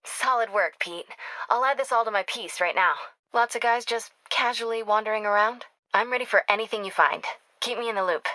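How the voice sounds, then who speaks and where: A driven young woman reporter from a video game. Her voice is bright and crisp-edged, recorded very dry. She talks in a natural, confiding way, caring and a little wry, as if on the phone to someone close. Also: fairly high pitch, fairly quick, no room sound. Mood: pleased, encouraging. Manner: conversational, earnest, confiding.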